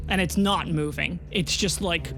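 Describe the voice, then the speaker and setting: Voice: medium pitch, a little slow. Conversational game master over background music. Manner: conversational, descriptive, casual swearing. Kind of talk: casual narration of tabletop game audio drama.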